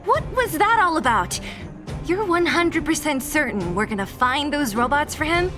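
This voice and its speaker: Sharp-tongued young heroine, game: slightly high, expressive, wry, skeptical. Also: female voice, normal speed. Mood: skeptical, teasing. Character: sharp-tongued, teasing, tough young female companion. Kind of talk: video game voice acting. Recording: game music under the voice.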